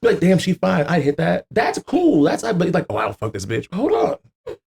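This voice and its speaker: A man chatting casually on a podcast, joking and exclaiming in a raspy voice with plenty of swearing. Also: high-pitched, brisk. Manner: animated, exclaiming, joking, profane.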